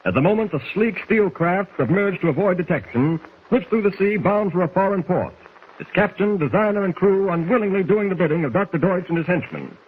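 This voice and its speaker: A man's voice: sits high, expressive, fairly quick, a little hiss or hum. A man reading scripted narration for a 1940s radio serial, as its narrator. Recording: tinny old 1940s radio recording.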